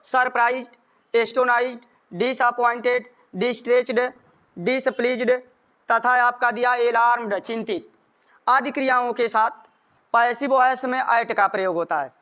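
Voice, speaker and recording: A man's voice: very high voice, steady tone, moderate pace, bright, forceful tone, smooth. A man with an Indian accent giving a grammar lesson as a teacher, explaining rules step by step and repeating them.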